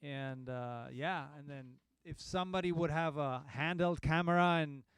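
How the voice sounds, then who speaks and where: Man, bassist co-host, halting easygoing talk, a bit high-pitched, smooth dry voice. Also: a bit bright, no echo. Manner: halting, many ums and restarts, easygoing. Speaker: bass player and co-host. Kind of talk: casual livestream chat. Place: recording studio.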